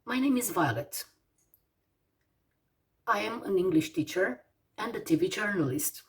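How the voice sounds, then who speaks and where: A Romanian woman in her forties with a Romanian accent, teaching English vocabulary slowly, with many fillers and pauses as she lists words one by one. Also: mid-range voice, lively intonation, distant mic. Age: 40s. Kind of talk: slow vocabulary lesson.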